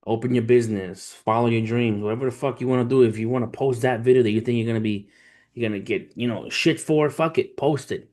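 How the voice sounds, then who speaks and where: A man's voice: mid-range voice, fast, a little mellow. A man in his thirties hosting a livestream, talking informally with slang and swearing as he tells stories and gives motivational talk to his viewers. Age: 30s. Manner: informal, street slang, profane, addresses viewers as family. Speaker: livestream host, trader. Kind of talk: casual livestream chat, storytelling and motivational talk.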